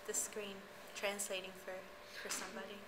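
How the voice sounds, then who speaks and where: A woman's voice: mid-range voice, completely flat delivery, moderate pace. Chatty woman from Peru, background hiss. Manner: chatty, reflective, with fillers. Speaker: college student. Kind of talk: casual interview.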